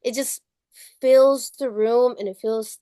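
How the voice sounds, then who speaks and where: A young woman from Chicago answers interview questions in a chatty, giggly way, peppering her talk with "like". Her nasal voice sits fairly high, and the sound is close and dry. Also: fairly even intonation, slow pace, warm tone, dry recording. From Chicago, Illinois. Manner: giggly, chatty, lots of likes. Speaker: musician guest. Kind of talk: casual interview. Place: recording studio.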